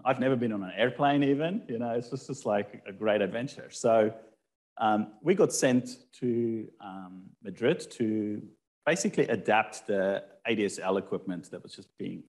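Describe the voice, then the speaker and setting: A man with a South African accent recounts his early years in a loose, chatty way. His voice sits on the low side for a man, and he talks like a founder giving a live talk. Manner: meandering, relaxed, with fillers and light laughs. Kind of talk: casual storytelling presentation. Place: event hall talk.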